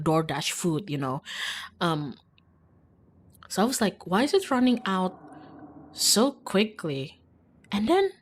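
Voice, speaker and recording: A young woman from Indonesia, streaming and telling a rambling story about share-house life, full of "like" and restarts. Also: fairly low pitch. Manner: rambling, filler-heavy, lots of "like". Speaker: VTuber streamer. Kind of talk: casual storytelling while gaming.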